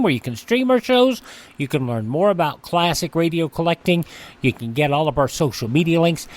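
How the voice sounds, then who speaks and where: A man hosting a classic radio show in a folksy, chatty way. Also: fairly high pitch. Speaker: radio host.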